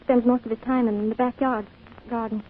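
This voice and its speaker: Husky, high-pitched homely wife. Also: female voice, little pitch movement, a little fast. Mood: worried, subdued. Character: gentle, worried wife, dryly teasing homemaker. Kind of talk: old-time radio drama acting. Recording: muffled old radio recording, music.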